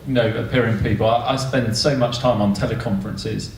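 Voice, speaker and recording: A man's voice: fairly low pitch, fairly even intonation, brisk, gravelly. A man making a casual, off-the-cuff comment in a group discussion. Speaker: discussion participant. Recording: echoey room, distant mic, faint noise.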